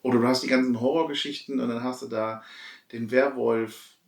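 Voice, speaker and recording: A man's voice: medium pitch, fairly even intonation, brisk, creaky. A man chatting casually with friends as a podcast co-host. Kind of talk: casual chat among friends. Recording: roomy, distant from the mic.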